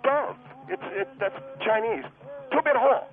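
A man voicing an excitable, touchy, self-justifying husband in a comic radio act, over a tinny phone line. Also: sits high, normal speed. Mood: pompous, flustered. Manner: indignant, blustering, self-justifying. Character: excitable, touchy husband attempting a hot-steel walk.

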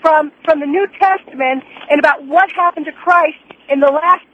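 A woman's voice: sits high, normal speed. An American woman calling in to a radio show, exasperated and insistent. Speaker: caller. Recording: dull phone line.

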